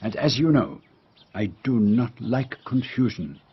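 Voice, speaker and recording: A male actor playing a brilliant, courtly little retired detective with a Belgian French accent, deliberate and theatrical in a radio drama. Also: medium pitch, sing-song, breathy edge, muffled recording, heavily acted. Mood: grave, deliberate. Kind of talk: British radio drama acting.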